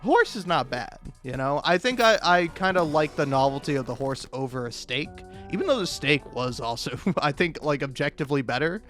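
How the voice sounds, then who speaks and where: A man's voice: fairly high pitch, lively intonation, normal speed, few pauses, fairly bright tone, soft background music. A man giving casual, meandering gameplay commentary, swearing freely and poking fun at himself as he chuckles along. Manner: sweary, self-deprecating, meandering; chuckles often while talking. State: high on drugs. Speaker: video game streamer.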